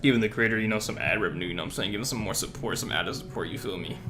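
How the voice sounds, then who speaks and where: A man's voice: lowish voice, racing, pushed and bright. A man giving casual reaction commentary, talking in an informal, musing way. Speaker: reaction host. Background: faint music of the watched video.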